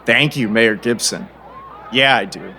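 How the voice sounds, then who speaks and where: A man playing a self-promoting small-town shopkeeper in an audio drama, making a showy, eager sales pitch. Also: unhurried, gravelly, bright, forceful tone, some chatter behind, a little hiss or hum. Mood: smug, salesy.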